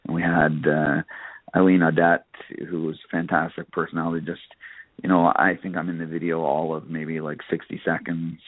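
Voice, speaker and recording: A man giving casual interview answers as a country singer, halting and often saying "you know". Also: rumbling low, level tone, no room sound. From Nova Scotia, Canada. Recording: phone-like narrow radio line, dry.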